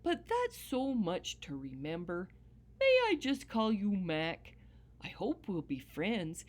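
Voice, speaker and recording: A woman voicing a cheerful, chatty female dog in a storybook, in a bubbly soft manner. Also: medium pitch, wildly swooping pitch, slow. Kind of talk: storybook character voice.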